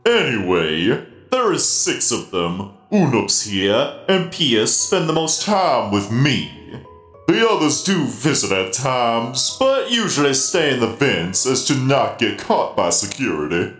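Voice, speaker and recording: A man's voice: mid-range voice, big pitch swings, slow, a bit bright. A man as a playful, swooping robot spider DJ. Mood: genial. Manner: theatrical, genial, teasing. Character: friendly, playful, easily amused giant robot spider DJ. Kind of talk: fan comic dub character acting. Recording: roomy echo, music behind. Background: music.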